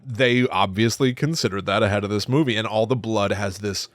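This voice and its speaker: A man co-hosting a casual film discussion podcast, talking in a jokey, halting way and repeating words for comic emphasis. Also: on the low side, no room sound, clean studio sound. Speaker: podcast co-host.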